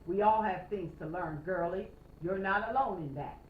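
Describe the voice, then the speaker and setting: A woman voicing a stern but caring old Scottish sheepdog trainer who gives measured, emphatic instruction. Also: lowish voice, close to an everyday voice. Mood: instructive, earnest. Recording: roomy, slightly noisy, dull home recording.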